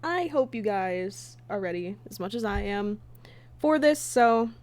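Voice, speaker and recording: A woman's voice: mid-range voice, expressive, unhurried, darkish tone. A woman chatting casually on a livestream as its host and creator. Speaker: streamer, fan comic dub creator.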